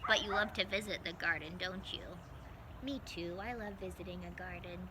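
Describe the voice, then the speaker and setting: A woman hosting a story time for young children, talking in an animated, sing-song, gently coaxing way. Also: lowish voice, moderate pace, very noisy.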